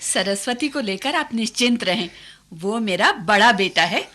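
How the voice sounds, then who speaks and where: An actress playing a conniving, flattering woman in a radio drama, with a honeyed, coaxing manner. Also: mid-range voice, unhurried. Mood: sweetly deceitful. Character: conniving, flattering wife and eager sister. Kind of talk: Hindi radio drama acting.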